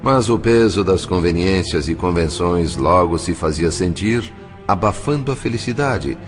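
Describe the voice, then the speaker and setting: A man narrates a dramatic story from a script. His voice is very low, with a Brazilian accent, and music plays behind it. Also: expressive, normal speed. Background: background music.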